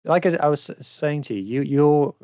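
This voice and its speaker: A man's voice: on the high side, moderate pace, a little mellow, no echo. A man hosting a rugby podcast, asking his guest questions in a chatty, inquisitive, enthusiastic way. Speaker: podcast host. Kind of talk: casual podcast interview.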